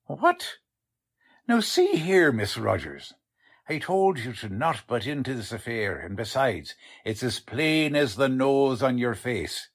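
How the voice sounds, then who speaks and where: Male actor as a husky, bossy cop sergeant in a radio-style mystery, lively pitch. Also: slow, full character voice. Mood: indignant. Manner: bossy, streetwise, working-class grammar. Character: gruff blustering male police sergeant. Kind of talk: amateur audio drama acting.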